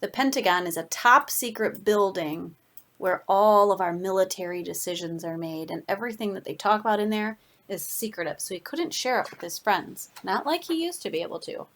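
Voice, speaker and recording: A woman's voice: mid-range voice, lively intonation, a little fast. A woman reading a picture book aloud to children expressively, unhurriedly adding asides and pointing things out along the way.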